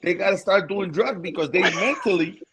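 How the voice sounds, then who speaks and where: A man's voice: high-pitched, normal speed, crowd noise. A man from Rico, a guest speaking passionately and repeating words for emphasis in casual panel talk. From Puerto Rico. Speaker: guest, boxer.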